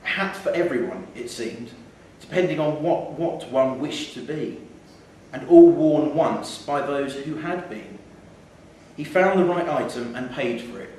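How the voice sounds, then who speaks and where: A man's voice: fairly high pitch, expressive, unhurried, a little mellow. Very echoey man reading a short story. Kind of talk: live literary story reading. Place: theatre venue, indoors. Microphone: distant from speaker. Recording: very echoey, distant mic, faint noise.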